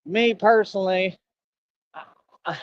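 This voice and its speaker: A man's voice: sits high, a little slow. A Texan man rambling casually in a self-recorded stream monologue, filling his talk with "you know what I mean". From Texas. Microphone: cheap headphones with mic. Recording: dry, dull lo-fi sound.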